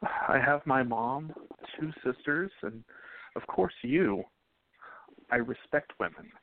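A man playing a gentle, respectful young 1920s man who reassures his sweetheart, in an amateur radio play. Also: medium pitch, lively intonation, slow. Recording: tinny phone-like audio.

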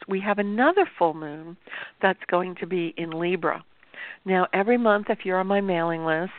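A woman astrologer hosting a show, talking to viewers in a chatty, explanatory way, with a sore throat that makes her voice gravelly. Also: fairly low pitch, no room sound. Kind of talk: informal talk-show monologue. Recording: tinny phone-like dry sound.

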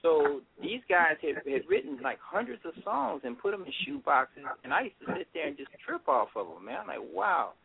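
Relaxed middle-aged man on a phone line. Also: fairly high pitch, a little fast, creaky, hardly any pauses, a crowd in the background. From Washington, D.C. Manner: relaxed, rambling, many fillers. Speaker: guest musician. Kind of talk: casual interview.

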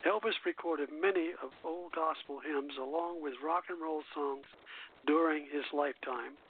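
A man with a fairly high voice hosts a radio program over a tinny, phone-like connection. He reads prepared notes aloud informally, tripping over a word here and there. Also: normal speed, slightly rough. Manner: stumbles over words while reading. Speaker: radio show host. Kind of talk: informal scripted narration read aloud.

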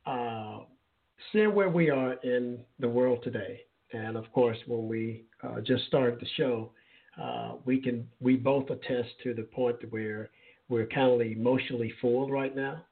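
A man hosting an interview, asking questions in a halting, affirming way and often repeating words. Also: mid-range voice. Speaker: show host. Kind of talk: casual interview talk. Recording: tinny phone-like line.

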